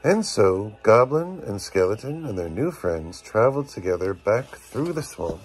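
Deep-voiced dad reading a bedtime story. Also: male voice, very animated, normal speed, breathy edge, soft background music, rough recording. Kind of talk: expressive storybook read-aloud. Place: small dim bedroom, lying down beside children. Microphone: phone at arm's length.

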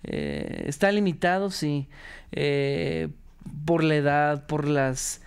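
Smooth, higher-pitched Mexican man. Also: lively intonation, takes it slowly. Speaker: radio performer. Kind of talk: casual reflective interview.

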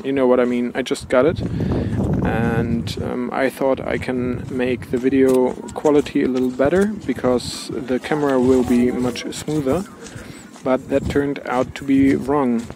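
Slow-talking young man filming outdoors. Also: mid-range voice. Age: young adult. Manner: slow, searching for words, non-native phrasing. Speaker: RC boat builder vlogger. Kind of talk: casual vlog explaining to camera. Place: outdoors by a harbor in sunshine. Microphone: action camera with furry windscreen mic held close. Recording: outdoor wind and traffic noise.

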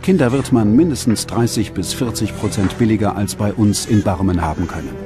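An actor playing an eager, calculating 19th-century factory owner, reading out a letter in a businesslike way for a documentary. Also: male voice, fairly low pitch, fairly quick, over music, close to an everyday voice.

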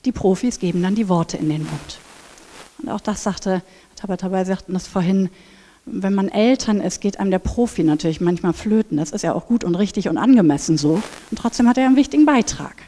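Animated woman lecturing in a big reverberant hall. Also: medium pitch, fairly quick. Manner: animated, acts out inner voices. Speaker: speaker at a conference. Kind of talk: lively conference talk with acted inner voices. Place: large brick hall with seated audience. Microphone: headset mic.

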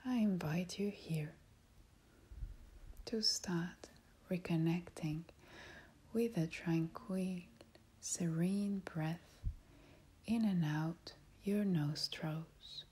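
Woman doing guided meditation, super slow, really breathy almost whispering, soft and calm, lots of pauses. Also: on the low side. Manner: calm, soothing, with long pauses. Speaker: meditation and yoga instructor.

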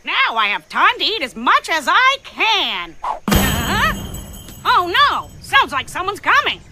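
A performer voicing a mischievous, chatty little parrot in an animated cartoon, with exaggerated, teasing delivery. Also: very high voice, takes it slowly, noisy background, heavily acted. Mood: gleefully scheming. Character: mischievous small parrot who mimics others, cheeky trickster.

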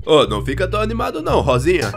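A man's voice: on the high side, huge pitch swings, very fast, vocal fry, loud music behind the voice, exaggerated voice. A man plays a scheming cartoon rooster. The voice has a southern Brazilian gaúcho accent and is blunt, slangy and sardonic. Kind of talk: cartoon fan dub.

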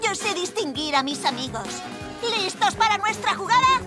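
An eager little superhero boy, really high, loud music behind. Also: very animated, moderate pace. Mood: bold. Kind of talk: children's cartoon dub.

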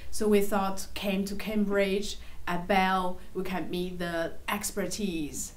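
A woman's voice: mid-range voice, steady tone, slow. A woman in her thirties from China, an English teacher speaking with enthusiasm and plenty of fillers in a casual group interview. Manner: chatty, enthusiastic, frequent fillers. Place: bright room with large windows, indoors.

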